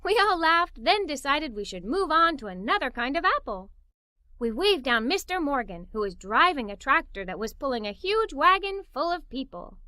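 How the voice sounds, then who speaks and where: A woman narrating a children's story aloud in an expressive, playful way. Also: high voice, moderate pace, dry recording, clean studio sound.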